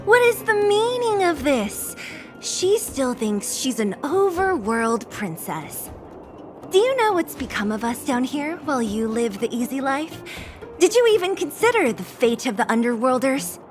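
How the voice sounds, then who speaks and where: A woman's voice: sits high, sing-song, a little slow. A woman voicing a brash, scornful young street fighter in a video game, taunting and confrontational. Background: game music.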